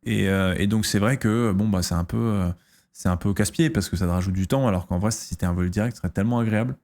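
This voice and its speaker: A man in his thirties from France talks casually on his stream, answering viewers' questions. His voice sits a little low, and he halts often, restarting sentences and filling gaps with hesitations. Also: fast talker, darkish tone. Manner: hesitant, lots of fillers and restarts.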